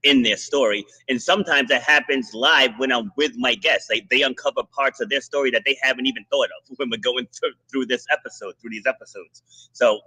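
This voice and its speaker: A man hosting a podcast, giving a motivational monologue and interviewing a remote guest in bursts of quick, restarting speech. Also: mid-range voice, sharp tone, breathy edge, poor audio. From Rhode Island. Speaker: podcast host.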